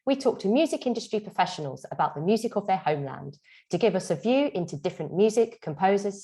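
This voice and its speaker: A woman's voice: mid-range voice, darkish tone. A woman hosting a podcast interview, moving between prepared introductions and conversational questions. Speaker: podcast host.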